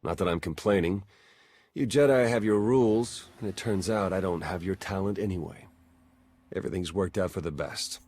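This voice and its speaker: A man playing a wry, suspicious intelligence agent in a space-opera video game, speaking in a dry, sardonic, conversational way with a husky voice. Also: low voice, moderate pace, a little mellow. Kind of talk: video game dialogue acting.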